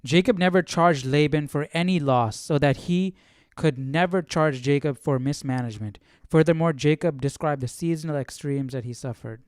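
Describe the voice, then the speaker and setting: A man's voice: fairly high pitch, moderate pace, no room sound, studio quality. A man hosting a Bible study, commenting on the reading in a casual, informal way.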